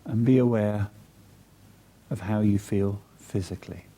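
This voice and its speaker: A man with a very dark, mellow voice guides a meditation at an unhurried pace. His tone is soft, husky and breathy. He speaks soothingly, in short measured phrases with long pauses between them. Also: fairly low pitch.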